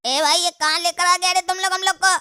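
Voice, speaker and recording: Casting: whiny coward boy for cartoon comedy voiced by a woman, bright, squeaky, bone-dry sound. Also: steady tone, fairly quick, no echo. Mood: pleading. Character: whiny cowardly older brother, cartoon boy, self-serving.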